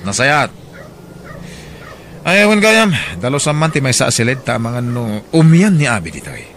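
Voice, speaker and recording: An actor playing a bossy, unfaithful husband in an Ilocano radio drama, with an Ilocano accent. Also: male voice, mid-range voice, sing-song, moderate pace, fairly bright tone, a little hiss or hum. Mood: domineering, irritated. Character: domineering, unfaithful husband bossing his wife around.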